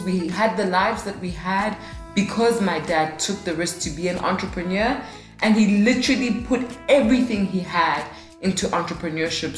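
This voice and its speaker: A woman's voice: medium pitch, roomy sound. A woman in her late twenties hosting her own podcast alone at a mic, chatty and upbeat with self-deprecating humor. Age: 20s. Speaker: podcast host. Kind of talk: casual solo podcast talk. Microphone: studio mic, alone in a room.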